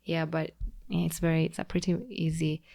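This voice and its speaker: A young woman with a Kenyan accent, a podcast guest explaining things in a relaxed, teacherly way with frequent restarts. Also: deep voice, normal speed, gravelly. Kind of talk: casual conversational explaining.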